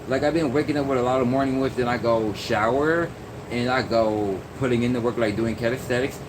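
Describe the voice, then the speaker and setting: Young man vlogger, middle pitch, meandering casual talk, noisy air-conditioned room. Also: brisk. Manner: meandering, many fillers and restarts. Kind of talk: rambling casual monologue. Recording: noisy background hum.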